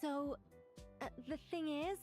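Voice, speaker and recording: A woman voicing an eager, scholarly woman in a video game, excitable and scolding herself to stay calm. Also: sits high, takes it slowly, faint music behind. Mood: exasperated.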